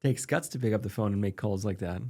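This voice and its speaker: A man's voice: on the low side, quick pace, warm tone. A man around forty, a native Polish speaker, interviewing casually as an interested, affirming listener.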